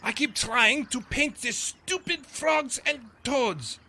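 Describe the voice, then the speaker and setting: An actor playing an exasperated young painter in a comic radio play, excitable and full of complaint. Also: male voice, very high-pitched, slow pace, exaggerated voice.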